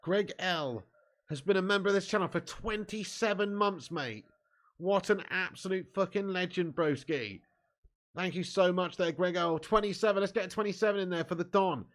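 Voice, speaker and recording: A man's voice: sits high, normal speed, fairly bright tone. A cheeky young man with an English accent, hosting a football watch-along live stream and bantering while reading viewer comments. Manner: cheeky, banter-filled, laughs often.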